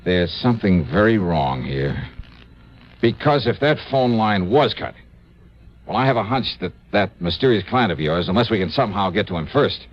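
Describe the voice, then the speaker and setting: Low-voiced American radio detective. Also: male voice, big pitch swings, normal speed. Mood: brooding. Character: cool, sharp freelance insurance investigator, narrating his own case. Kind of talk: 1950s radio detective drama acting. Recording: dull old radio recording with music.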